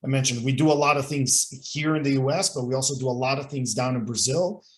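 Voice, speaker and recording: A man's voice: mid-range voice, fast talker. A man hosting an online business meeting. He often repeats words and restarts his sentences. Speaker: chamber of commerce host.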